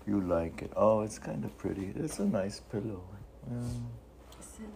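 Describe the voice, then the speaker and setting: A man reading his own poetry, with rambling casual asides in an unhurried, halting, wry way. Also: lowish voice, animated, gravelly, background noise. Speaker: poet reading own work.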